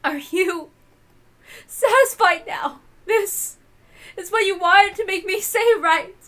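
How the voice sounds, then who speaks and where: Casting: tormented mother for visual novel drama, very high, sharp, tearful and hysterical. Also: takes it slowly, heavily acted. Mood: sobbing, bitter. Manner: sobbing, dramatic outbursts. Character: anguished married mother confessing her crime in hysterical grief.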